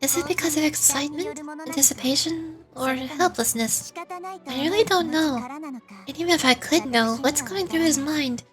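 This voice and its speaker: A woman voicing a gentle, wise child deity in a game, curious and earnest, thoughtfully giving advice. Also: high voice, normal speed, natural voice. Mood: earnest, pensive. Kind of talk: scripted game character dialogue. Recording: game music behind the voice.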